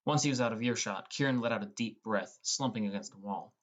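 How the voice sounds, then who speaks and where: A man's voice: fairly low pitch, fairly quick. A man reading scripted first-person narration as the narrator of an audiobook.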